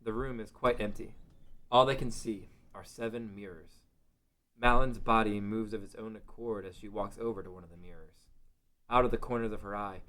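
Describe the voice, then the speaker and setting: A man's voice: on the low side, a bit bright, poor audio. A man reading dramatic scripted narration for a fantasy story as its narrator.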